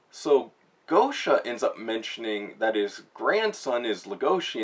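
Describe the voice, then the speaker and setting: A man giving a casual spoken review, retelling a story in informal, slangy language. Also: lowish voice. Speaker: manga reviewer, host. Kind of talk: casual spoken book review with plot recap.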